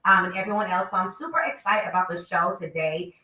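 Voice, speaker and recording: Woman radio host, bright forceful voice, slightly stuffy, roomy sound a bit off-mic. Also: on the low side, fairly even intonation, fast, distant mic. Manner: upbeat, chatty, lots of shout-outs. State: stuffy from a cold. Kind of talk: casual morning radio talk.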